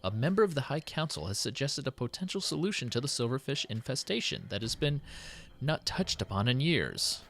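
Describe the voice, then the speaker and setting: A man voicing a worried, dutiful city president who dictates his diary in a formal, measured, solemn way. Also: mid-range voice, big pitch swings, a bit bright, pitched above the performer's own voice. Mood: solemn, intrigued. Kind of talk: dramatic in-character diary reading.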